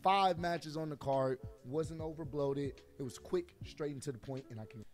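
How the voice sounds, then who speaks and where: A hoarse man reviews a wrestling show with hyped, slangy energy, his voice worn out and a little high-pitched. Faint music plays under the played-back video. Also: normal speed.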